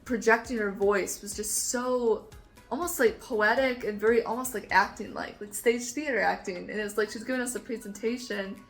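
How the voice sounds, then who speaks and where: Woman vlogger rambling excitedly, likes and ums everywhere, faint music behind. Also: a little high, fairly quick, rough recording. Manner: enthusiastic, rambling with many fillers. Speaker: vlogger and composer. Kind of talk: casual chat.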